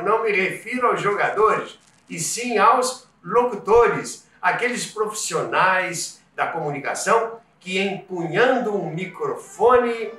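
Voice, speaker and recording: An older Brazilian man with a high, bright voice presents at an unhurried pace. He speaks emphatically, like a practised storyteller addressing his viewers. The sound is roomy and set back from the microphone. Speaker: host of a radio history channel. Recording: roomy, distant from the mic. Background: music.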